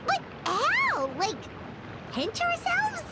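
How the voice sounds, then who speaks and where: A woman voicing a tiny, excitable fairy companion in a video game, squeaky and childlike, with an exclamatory, wide-eyed delivery. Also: extremely slow, heavy background noise, full character voice. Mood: startled, anxious.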